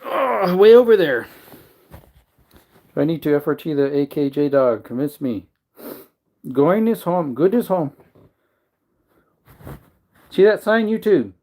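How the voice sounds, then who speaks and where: A man hosting a casual livestream, rambling and joking while greeting viewers in the chat. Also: fairly high pitch. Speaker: livestream host.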